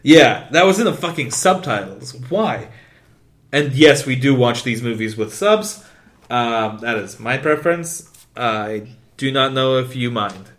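Sweary, excitable male podcast co-host. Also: mid-range voice, measured pace. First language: not English. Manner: swears constantly, rambling and excitable. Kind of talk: casual chat.

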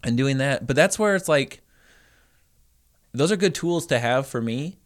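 A man with a medium-pitched voice co-hosts a podcast. He talks casually and haltingly, feeling his way toward the right words. The delivery is unscripted and conversational. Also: lively intonation. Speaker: podcast co-host.

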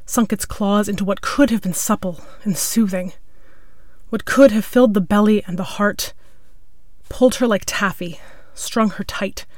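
A woman's voice: medium pitch, normal speed, darkish tone, no echo, studio quality. A woman reading a piece of scripted literary fiction as its narrator. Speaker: story narrator.

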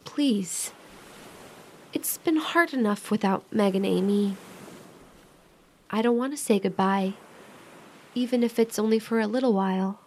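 A woman's voice: medium pitch, measured pace, pauses often, slight background noise, close to an everyday voice. A woman acting in a modern audio drama as a devoted, protective young sister who sounds worried and hesitant. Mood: reluctant, pleading.

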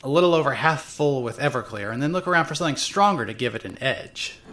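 Woman acting a tipsy, swearing young woman, very animated. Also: rumbling low, fast talker, heavy background noise. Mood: tipsy, rambling. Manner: rambling, drunken hesitations, sarcastic swearing. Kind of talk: first-person dramatic narration of a fan audio drama.

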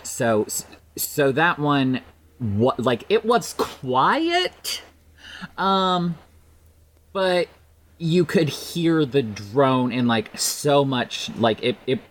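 A podcast guest chatting casually, enthusiastic and halting, often repeating words for emphasis. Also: medium pitch, lively intonation.

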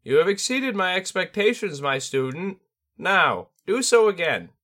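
A man voicing an ancient crow-like tengu sword master with a sharp, squawking, piercing voice and a sing-song lilt that ends his questions with "yes?". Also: high-pitched, sing-song, measured pace, voice pushed up, heavily acted. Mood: sharp, cryptic.

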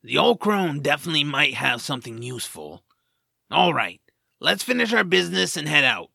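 A man's voice: fairly high pitch, very animated, normal speed, creaky, sharp tone, no echo, studio quality, full character voice. An actor playing a reluctant, complaining young male hero in a fantasy audio drama. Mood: conspiratorial. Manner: complaining, exasperated.

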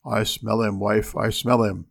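A man voicing a huge, bossy, suspicious man-eating ogre in a storybook tale, with booming commands and chanted threats. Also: flat delivery, a little slow, gravelly, husky, exaggerated voice. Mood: menacing, demanding.

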